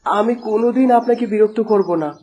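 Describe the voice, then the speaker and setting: A woman's voice: fairly high pitch, quick pace, dark tone, a little hiss or hum, natural voice. A woman voicing a devoted, anxious bird with an earnest, pleading manner in a dramatic cartoon style.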